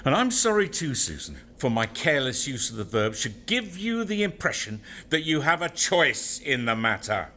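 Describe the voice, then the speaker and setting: An actor playing a brusque, sarcastic master of a manor house in an audio play. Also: male voice, medium pitch, sing-song, normal speed, hardly any pauses, bright, forceful tone, slight background noise. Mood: irritated, sarcastic. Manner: brusque, sarcastic, clipped politeness. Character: curt, pedantic master of a spooky manor.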